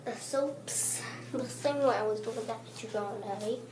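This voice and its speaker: A young girl giving a rambling, halting tour of a small apartment, filmed on a handheld phone that moves from room to room. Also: on the high side. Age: child. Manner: rambling, halting, pointing things out. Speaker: vlogger giving a home tour. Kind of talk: casual home tour narration. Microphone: handheld phone camera, moving. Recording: noisy handheld phone recording.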